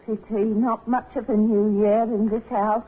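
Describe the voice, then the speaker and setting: An actress playing an overworked, plain-spoken cook at an inn in a 1950s radio drama. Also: female voice, a little high, level tone, measured pace, slightly rough. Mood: grumbling. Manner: chatty, plain-spoken, colloquial. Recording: muffled 1950s radio recording.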